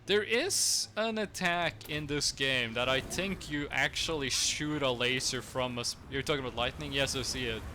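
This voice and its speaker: A man's voice: mid-range voice, normal speed, hardly any pauses, background noise. A young man from Norway with a Norwegian accent, chatting casually with viewers while he plays a strategy game, rambling with lots of fillers. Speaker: streamer.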